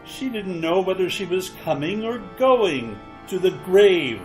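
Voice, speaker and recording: A man's voice: high-pitched, lively intonation, unhurried, faint music behind. A man playing a macabre, wisecracking host of an old-time radio horror show, sly and teasing. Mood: sly, gleeful.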